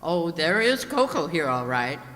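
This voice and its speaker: A man acting a dry, unhurried lab chemist in an old-time radio drama, drawing out each finding. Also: on the high side, very animated, bright, forceful tone, exaggerated voice. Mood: dry, teasing. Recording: reverberant hall recording.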